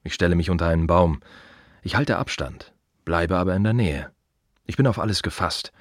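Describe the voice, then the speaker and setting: A man playing a reflective, wry gravedigger in an intimate radio play monologue, speaking in musing fragments with a husky voice. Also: low voice, lively intonation, no room sound, clean studio sound. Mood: quiet, observant. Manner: musing, short fragmentary phrases with pauses. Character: reflective male gravedigger, wry, plain-spoken, quietly observant.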